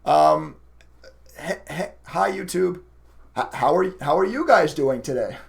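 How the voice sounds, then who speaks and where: Male live-stream trader, slightly higher-pitched with a gravelly rasp, casual and chatty, openly emotive. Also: animated, a little slow. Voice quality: raspy. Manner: chatty, emotive, laughs often. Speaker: livestreaming day trader and content creator.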